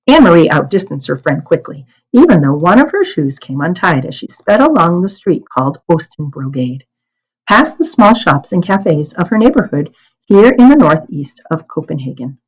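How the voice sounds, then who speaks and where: A woman's voice: deep voice, sing-song, normal speed, husky, poor audio. An American woman reading a storybook aloud as a teacher would, expressively and carefully, with small stumbles and corrections. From United States.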